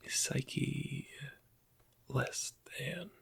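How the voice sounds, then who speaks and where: A man playing a weary, bitter Imperial interrogator in a whispered ASMR roleplay, questioning in a halting, pointed way with a breathy, husky voice. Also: medium pitch, steady tone, a bit bright, exaggerated voice. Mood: somber.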